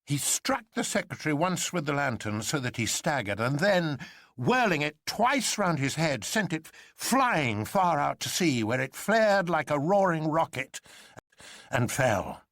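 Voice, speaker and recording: A man narrating a literary adventure as a storyteller, in a theatrical, expressive manner. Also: fairly high pitch, a little slow, dry recording, clean studio sound.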